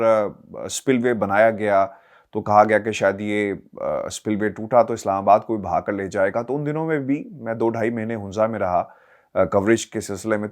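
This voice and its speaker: A man with a Pakistani accent gives political news commentary as a journalist, speaking emphatically and posing rhetorical questions to viewers. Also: medium pitch.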